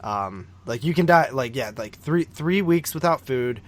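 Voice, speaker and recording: A man's voice: mid-range voice, animated, unhurried. Rambling, informal male podcast co-host. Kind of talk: casual film recap chat.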